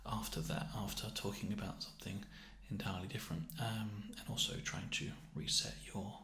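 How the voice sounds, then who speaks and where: Soft-spoken British man doing hesitant ASMR roleplay. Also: fairly low pitch, fairly even intonation, echoey room. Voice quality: soft-spoken, often dropping into near whisper. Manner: hesitant, gently awkward, halting delivery. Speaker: ASMR roleplayer playing a festive assessment clerk. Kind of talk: ASMR roleplay, improvised personal-attention chat.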